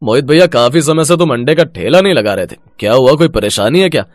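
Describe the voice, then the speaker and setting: A man's voice: medium pitch, expressive, fast talker, no echo, clean studio sound, lightly acted. A man playing a chatty, outspoken street-food customer in a moral story, with a familiar manner. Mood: frank.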